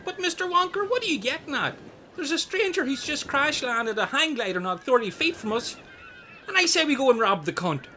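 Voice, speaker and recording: Aggressive, foul-mouthed island robber female actor, high voice with big swings, blunt radio comedy, slight noise. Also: big pitch swings, brisk, pushed and bright, heavily acted. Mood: blunt, impatient. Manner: blunt, sweary. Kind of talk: comedic radio play acting.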